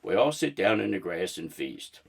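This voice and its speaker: A man reading a children's book aloud in an expressive storybook narration style. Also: low voice, moderate pace, gravelly, breathy edge.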